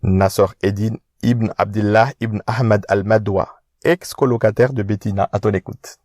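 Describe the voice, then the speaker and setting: A man's voice: lowish voice, steady tone, moderate pace, darkish tone, no room sound, studio quality, natural voice. An actor playing a proud, witty young man who answers back with mocking self-assurance in an audio drama. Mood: defiant. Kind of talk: independent audio drama acting.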